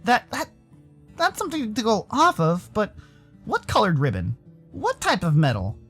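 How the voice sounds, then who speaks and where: A man's voice: sits high, huge pitch swings, moderate pace, fairly bright tone, husky, faint music behind, full character voice. An American man voicing a curious, skeptical young furry protagonist who narrates and talks in a visual novel, with a dry questioning manner.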